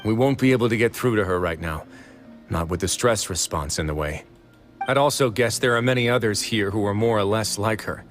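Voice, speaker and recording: A man voicing a calm, authoritative male prison warden in a video game, measured and dry. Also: fairly low pitch, faint music behind, close to an everyday voice.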